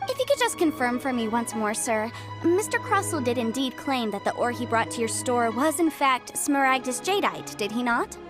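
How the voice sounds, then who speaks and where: An actress playing a confident young legal advisor in a game, brisk, self-assured and a little playful. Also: female voice, on the high side, lively intonation, close to an everyday voice. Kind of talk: game voice acting. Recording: studio voice over game music.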